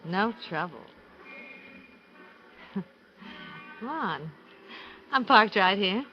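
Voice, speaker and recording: An actress playing a flirtatious, teasing and insistent femme fatale in a 1950s radio thriller. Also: female voice, mid-range voice, animated. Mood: coaxing, teasing. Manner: teasing, insistent, drawn-out coaxing. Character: coaxing, flirtatious femme fatale, butcher's woman. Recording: muffled 1950s radio recording, loud music.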